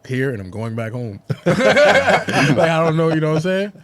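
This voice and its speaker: A man's voice: a little high, wildly swooping pitch, moderate pace, noisy background. A man from Connecticut, a music manager talking in a podcast interview, chatty and often laughing. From Norwalk, Connecticut. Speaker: guest, artist manager.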